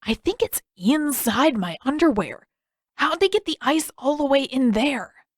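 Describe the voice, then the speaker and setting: A woman's voice: sits high, animated, a little slow, no echo, studio quality, voice pushed up. A woman voicing an exasperated, sarcastic teenage boy from an audiobook adventure, complaining with indignant emphasis. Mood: indignant whining.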